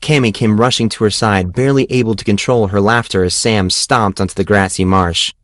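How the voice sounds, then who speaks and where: A man's voice: on the low side, steady tone, a little fast, no echo. A man reading a novel aloud as scripted audiobook narration. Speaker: audiobook reader.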